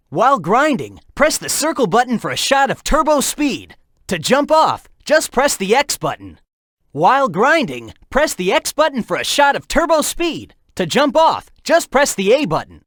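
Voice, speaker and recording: A man voicing a cocky, upbeat young hedgehog hero in a video game, with a brash, casual and energetic delivery. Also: very high-pitched, measured pace, no room sound, studio quality, exaggerated voice. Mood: upbeat, instructive. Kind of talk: video game tutorial and encouragement lines.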